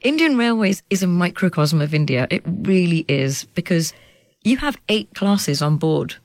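A woman in her forties talks as an interview guest, her voice carrying a light gravelly edge. She is animated and quick to laugh, her pitch swinging up as she gets excited. The sound is dry and close. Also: no echo. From United Kingdom. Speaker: journalist and author guest. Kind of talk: conversational interview.

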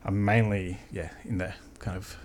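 A man's voice: fairly low pitch, little pitch movement, moderate pace, a little hiss or hum. A man from Australia, a percussionist talking loosely and laid-back in an interview. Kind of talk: casual interview answers.

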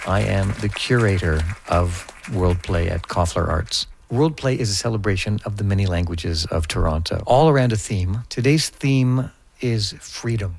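A man's voice: on the low side, creaky. A man speaking as an arts curator, explaining an event articulately. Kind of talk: explanatory interview.

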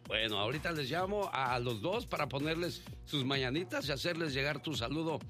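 Man, radio call-in host and storyteller, very lively melodic delivery over clear background music. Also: a little high, brisk.

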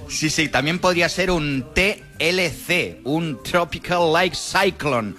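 A man's voice: a little high, slow pace, pushed and bright, faint music behind. A man with an accent from Spain giving a conversational, colloquial weather forecast as a forecaster. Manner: colloquial, folksy diminutives.

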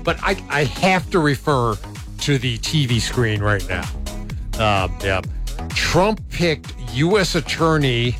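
A man's voice: mid-range voice, sing-song, slow pace, music in the background. A man hosting a talk radio show, chatting loosely with many fillers and blunt opinions. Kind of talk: casual talk radio chat.

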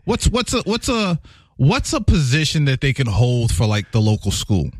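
A man with a deep voice co-hosting a radio show, chatting and reading a good-news segment. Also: wildly swooping pitch, moderate pace, breathy edge, no room sound. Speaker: radio co-host.

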